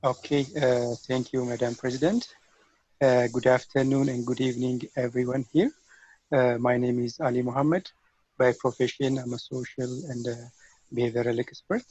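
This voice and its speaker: A man introducing himself formally and courteously in a halting way on an online call. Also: mid-range voice, level tone, a little mellow. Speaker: meeting participant, behavioral expert. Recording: dull, roomy online call audio.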